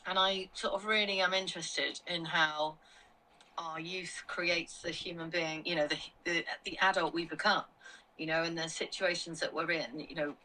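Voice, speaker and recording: A woman's voice: lowish voice, fairly even intonation, normal speed, pushed and bright, distant mic, rough recording. A middle-aged woman answering as an interview guest, reflective and talking with many "I think" and "you know" asides. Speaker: guest, founder of a children's mental health organisation.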